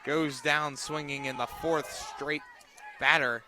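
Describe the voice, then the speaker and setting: A man doing live radio play-by-play of a softball game as the announcer. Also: on the high side, steady tone, slow. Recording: noisy outdoor broadcast with crowd behind. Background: crowd and outdoor ambience.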